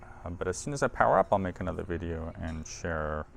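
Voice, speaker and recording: A man's voice: low voice, animated, brisk, creaky, a little hiss or hum. A man presenting audio equipment in a casual hands-on demo, informal and thinking aloud as he handles the machine.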